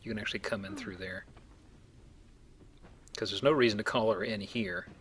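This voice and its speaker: A man's voice: on the low side, normal speed, a bit bright, slight background noise. Wry man joking through game commentary. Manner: wry, acts out mock quotes. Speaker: video game let's play host.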